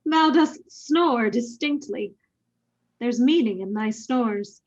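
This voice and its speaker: A woman's voice: fairly high pitch, slow, darkish tone, dull audio. A woman playing a wary, drily curious nobleman, a king's conspiring brother, in a Shakespearean radio play. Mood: wary, intrigued.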